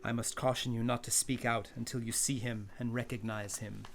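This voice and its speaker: A man's voice: mid-range voice, flat delivery, vocal fry, a bit bright, a little hiss or hum. A man playing a solemn, theatrical fake medium in a radio play, with a grave, portentous manner. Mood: summoning. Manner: slow, grave, portentous incantation.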